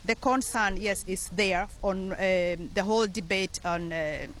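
A woman's voice: mid-range voice, fairly bright tone, slight background noise. Earnest middle-aged Kenyan woman. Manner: earnest, measured, with frequent ums and uhs. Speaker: community leader guest. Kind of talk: radio interview.

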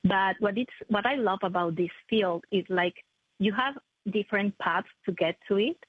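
A woman, a prosthetist answering interview questions in a halting, self-correcting way. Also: medium pitch, slightly rough, dry recording. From Ecuador. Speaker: maxillofacial prosthetist, guest. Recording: tinny phone-like line, dry.

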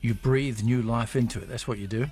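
A man hosting a casual radio interview, chatty with quick affirmations. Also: medium pitch, fairly even intonation, darkish tone. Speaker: radio host.

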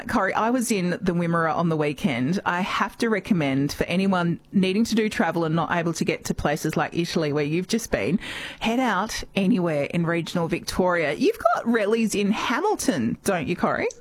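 A woman's voice: fairly low pitch, animated, normal speed, a little hiss or hum. An Australian woman hosting a radio show, chatting casually and upbeat with a guest. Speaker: radio host.

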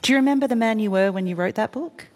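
A woman's voice: on the high side, fast, a little mellow, big-room sound, a little hiss or hum. Woman interviewer asking brief questions.

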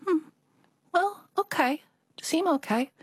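A woman's voice: extremely high pitch, expressive, measured pace, no room sound, clean studio sound, voice pushed up. A woman voicing her Chinese mother, with a Chinese accent and blunt, broken English. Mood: shy. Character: plainspoken Chinese mother telling her story.

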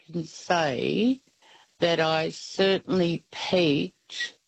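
A woman in her seventies calling in to a radio show, speaking slowly and deliberately. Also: lowish voice, vocal fry, no echo, rough recording. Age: 70s. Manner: slow, deliberate speech. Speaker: caller. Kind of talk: phone-in radio chat.

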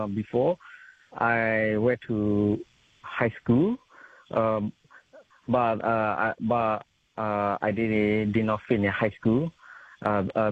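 A young man from Thailand, a community interpreter, speaking halting non-native English with many fillers and restarts. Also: on the low side, dry recording. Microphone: telephone. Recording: tinny phone line.

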